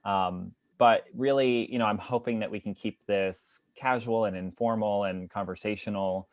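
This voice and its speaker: Young man, guest speaker, casual friendly talk, lowish voice, dry close sound. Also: steady tone, normal speed, no room sound. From Long Island, New York. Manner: friendly, grateful, upbeat, with frequent "um". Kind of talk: casual presentation to an online audience.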